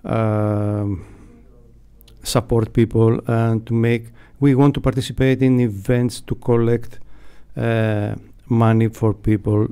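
Slow, mellow Greek-accented man. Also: medium pitch, steady tone, clean tone. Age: middle-aged. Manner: halting, hesitant. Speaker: radio station staff member, interviewee. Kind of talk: unscripted interview answers. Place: small foam-padded radio studio. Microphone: close studio mic on boom arm, headphones.

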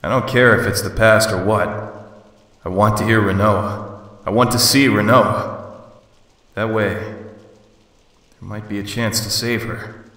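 A man's voice: lowish voice, fairly even intonation, a little slow, pauses often, sharp tone. An actor playing a brooding, lonely young man in a video game fan dub, terse in manner. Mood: yearning. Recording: very echoey, long reverb.

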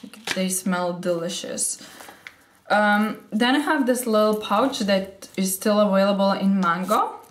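A woman's voice: mid-range voice, a little slow, echoey room, a little hiss or hum. Throaty young woman chatting at home. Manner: chatty, gushing, a little breathless. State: throaty from a cold. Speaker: beauty and fashion vlogger. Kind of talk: casual what's-in-my-bag chat. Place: sunny room at home, indoors. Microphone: camera facing her, sitting close.

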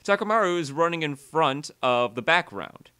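A man presenting a casual software tutorial in a conversational voice-over, with uneven energy and weight on key words. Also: a little high, animated, normal speed, clean tone.

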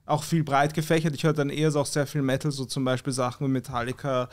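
A man, a beat producer talking in a relaxed, rambling way and chuckling now and then, recorded on a boom-arm podcast mic in a small studio room. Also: fast, smooth. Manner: reflective, rambling, chuckles often. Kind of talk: casual podcast interview. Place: small studio room with posters, seated at table.

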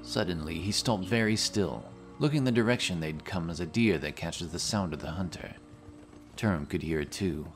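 A man narrating a scripted fantasy audiobook in a measured, dramatic way. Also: low voice, faint music behind.

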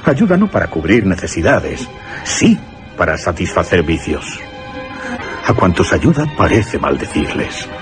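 Deep-voiced, swooping, earnest gentleman. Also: big pitch swings, measured pace, over music. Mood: troubled, indignant. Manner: dramatic, emphatic, formal. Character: earnest, troubled young gentleman, righteous and indignant. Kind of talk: Spanish radio horror drama acting.

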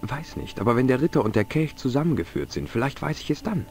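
A man's voice: mid-range voice, sing-song, quick pace, darkish tone. An actor playing an easygoing, curious young male adventurer in a video game, wry and light-hearted. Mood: earnest. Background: game music.

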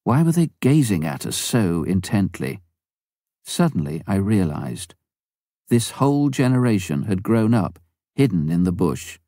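Male narrator, low and mellow, dry close recording, steady audiobook read. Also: dry recording. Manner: measured, even first-person reading. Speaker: audiobook reader. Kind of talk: scripted memoir narration.

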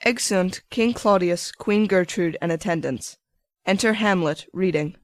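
A woman's voice: on the low side, unhurried, dry recording. A woman giving scripted narration, reading out the stage directions of a play.

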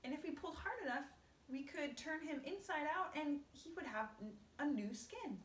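A woman's voice: high voice, animated, moderate pace, voice pushed up. A woman voicing a gloomy male earthworm who predicts the worst. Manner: doom-laden pronouncements. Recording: roomy, distant, faint background hiss.

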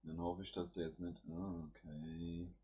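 A man's voice: sits low, little pitch movement, unhurried, dark tone. A man with a cold, hosting a gaming channel and thinking aloud in casual commentary with plenty of fillers as he plays. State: has a cold.

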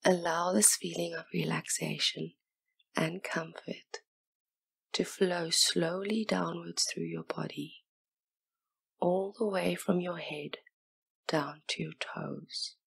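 A woman guiding a hypnosis meditation in a soft, breathy near-whisper, with soothing, gentle, unhurried instruction. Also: on the low side, fairly even intonation, no room sound, poor audio. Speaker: hypnotist and session guide.

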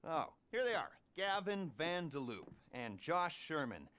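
A man's voice: a little high, very animated, takes it slowly, a bit bright, clear, resonant tone, full character voice. A man playing a nervous, chatty heist planner in a video game cutscene, rambling and interrupting himself with wry asides. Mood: giddy, awkward.

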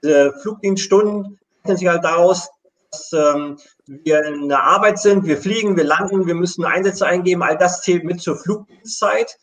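A man's voice: sits high, moderate pace. A middle-aged man explaining things step by step on a live video call, as an air rescue station manager. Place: room at home.

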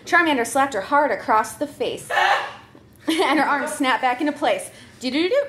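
A woman's voice: sits high, lively intonation, moderate pace, a bit bright. A woman reading a comic story aloud as a performer, giggly and prone to breaking up as she goes. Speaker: comedy troupe member reading a story.

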